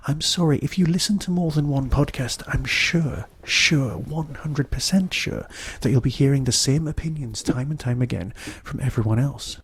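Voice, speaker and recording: A man delivering a solo podcast review in a wry, enthusiastic way, with emphatic pauses. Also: medium pitch, husky, no echo.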